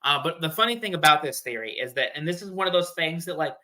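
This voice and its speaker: Bright, forceful man chatting casually. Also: fairly high pitch, expressive, fast. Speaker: podcast guest. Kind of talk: casual fan-theory discussion.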